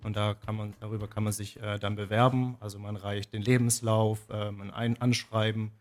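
Measured young man with a lowish voice. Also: flat delivery, soft background music. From southwest Germany. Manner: measured, hesitant, many fillers. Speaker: trainee, radio guest. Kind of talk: radio interview answers.

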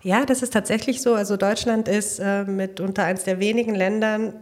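A woman's voice: mid-range voice, steady tone, fairly quick. A woman from Italy, a nursing school teacher giving detailed, explanatory answers in an interview.

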